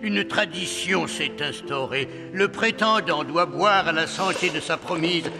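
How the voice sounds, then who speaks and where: An actor playing a scheming, vengeful king and domineering father in a fairy-tale cartoon, gravelly and theatrically pompous. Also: on the high side, measured pace. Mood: pompous, ceremonious. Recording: hall reverb, loud music behind.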